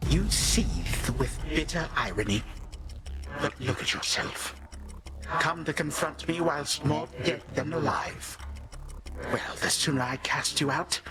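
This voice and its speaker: An actor playing an ancient god of time in a video game, a sinister, condescending old villain who speaks in pompous, archaic, theatrical phrases and savors each word. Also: male voice, medium pitch, slow pace, heavy background noise. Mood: haughty disdain.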